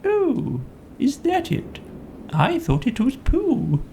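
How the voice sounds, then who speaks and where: A self-important old storybook owl, as a man performs him, pitched high and speaking slowly. He is haughty and deliberate, quick to sound put out. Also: huge pitch swings, vocal fry, mellow, slight background noise, exaggerated voice. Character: pompous, easily annoyed old male owl from a children's storybook.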